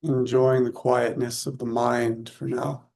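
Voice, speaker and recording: A man leading a guided meditation in short, unhurried, soothing phrases with long pauses between them. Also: medium pitch, level tone, gravelly, a little mellow.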